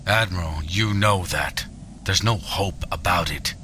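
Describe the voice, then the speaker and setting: Man playing a deep, hard-edged, ruthless general. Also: pushed and bright, a little hiss or hum. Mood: grim, insistent. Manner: cold, grave, deliberate. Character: ruthless, menacing male military general. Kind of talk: science fiction audio drama acting.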